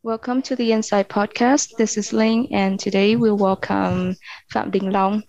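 A woman's voice: mid-range voice, fairly even intonation, measured pace, creaky, highs cut off. A woman hosting a podcast, giving a friendly scripted welcome and introducing her guest. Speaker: podcast host.

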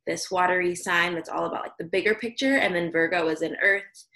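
A woman's voice: fairly low pitch, fairly quick, pushed and bright. Sleepy-voiced woman podcast co-host. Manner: chatty, explains astrology with many fillers. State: sleepy, slightly raspy voice. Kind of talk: casual chat. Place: home, on a video call. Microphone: video call.

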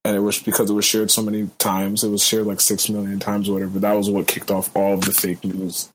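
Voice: low voice, completely flat delivery, fast, low-quality recording. A podcast co-host in casual banter, telling stories in slangy language and acting out what people said.

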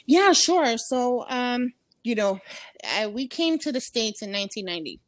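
A woman's voice: a little high, very animated, moderate pace, no room sound. A woman in her thirties, from Ecuador, talking casually as an interview guest, with lots of fillers and restarts. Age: 30s. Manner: chatty, many fillers and restarts. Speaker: guest, comedian.

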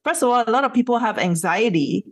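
A woman's voice: a little high, animated, fast, dull audio. A woman, a podcast co-host, talking casually in an earnest, opinionated way and building her points with rhetorical questions. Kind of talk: casual discussion.

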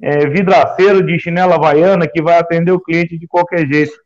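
A man's voice: a little high, fairly even intonation, fairly quick. Earnest man, dull lo-fi audio. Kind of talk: casual live-stream talk.

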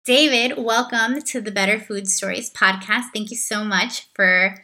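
A woman's voice: mid-range voice, unhurried, sharp tone, dry recording. A woman hosting a food podcast, reading an upbeat intro and then interviewing her guest with enthusiasm. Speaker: podcast host.

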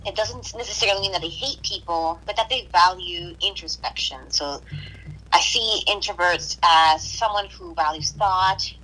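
A woman from Puerto Rico chatting casually on a podcast, hesitant, with restarts and soft laughs. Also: lowish voice, moderate pace, poor audio. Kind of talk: casual conversation.

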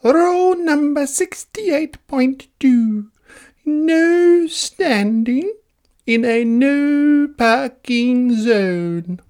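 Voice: sits high, lively intonation, extremely slow, darkish tone, pitched above the performer's own voice, full character voice. A performer voicing a fussy, prim female parking officer in a chirpy, precise children's storybook voice. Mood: officious.

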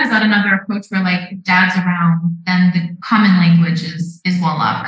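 A woman's voice: mid-range voice, fairly bright tone. A woman from West Africa, a mother and podcast guest, chatting casually and thinking aloud with questions and lots of "like". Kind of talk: casual conversation. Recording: very echoey room.

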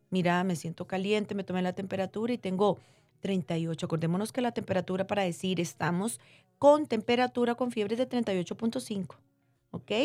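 A woman's voice: medium pitch, a little mellow, dry recording. Woman doctor explaining in everyday terms, dry sound. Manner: didactic, uses diminutives and check-in questions. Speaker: doctor interviewed as guest expert. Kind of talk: conversational medical explanation for lay listeners.

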